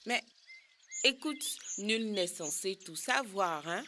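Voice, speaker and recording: A woman's voice: mid-range voice, lively intonation, slow, bright, forceful tone, a little hiss or hum. A woman voicing a cheeky, boastful, food-loving youngster in a children's cartoon, with a cocky, playful delivery.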